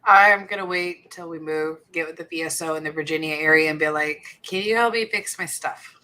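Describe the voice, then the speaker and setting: A woman co-hosting a casual podcast, a military veteran with a cold, congested and losing her voice, chatty and quick to laugh. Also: low voice, pushed and bright. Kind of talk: casual chat.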